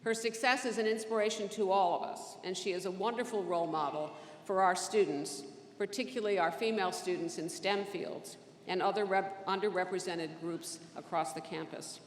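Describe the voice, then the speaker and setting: A woman reads a formal award citation in a steady manner. Her voice sits at an ordinary pitch and rings with a long reverb through a large hall. Faint ambient noise sits underneath. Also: moderate pace. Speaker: ceremony presenter. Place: large indoor ceremony venue.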